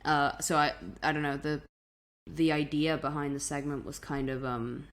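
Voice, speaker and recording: A woman's voice: low-pitched, level tone, moderate pace. A woman co-hosting a podcast over a remote connection, chatting casually, laughing often and swearing freely. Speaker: podcast co-host. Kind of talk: casual chat. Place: in Italy, remote recording.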